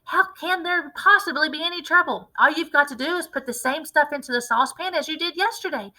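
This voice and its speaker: Lady doing a super excited storybook farmer dad, bossy and impatient, high bright voice, punches every phrase. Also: fairly quick, poor audio. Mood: eager, insistent. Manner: exclamatory, bossy, emphatic. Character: wildly excited, impatient, domineering farmer father, adult man. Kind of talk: storybook character read-aloud.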